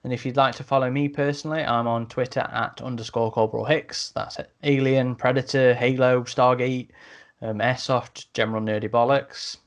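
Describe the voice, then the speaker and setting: A man's voice: medium pitch, flat delivery, normal speed. A man hosting a podcast in a casual, chatty, matey way, speaking informally to listeners. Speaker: podcast host.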